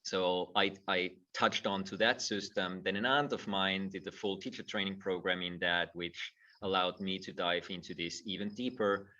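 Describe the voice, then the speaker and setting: A middle-aged man speaks thoughtfully and often restarts his phrases. His voice is low and bright. He sounds far from the mic, and the audio is dull and muffled. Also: steady tone, moderate pace. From Austria. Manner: thoughtful, repeats words when starting phrases. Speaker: guest, movement teacher. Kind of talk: conversational interview.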